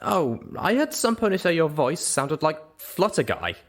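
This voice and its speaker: Young pony heroine for storybook reading, inquisitive and exclamatory, raised pitch, played by a man. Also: very animated, unhurried. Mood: curious. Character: young female pony adventurer, curious and exclamatory.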